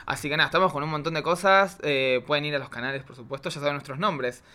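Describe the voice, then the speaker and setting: A man's voice: a little high, fast talker, sharp tone. A man with an Argentine accent, chatting casually as a drag queen guest, effusive and campy. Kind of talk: casual chat.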